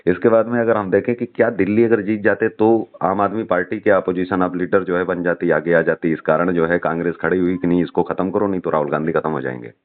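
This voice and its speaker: Low-voiced man on a phone call. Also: steady tone, quick pace, hardly any pauses. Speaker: questioner in a political discussion. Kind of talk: casual political phone chat. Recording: muffled, narrowband phone call recording.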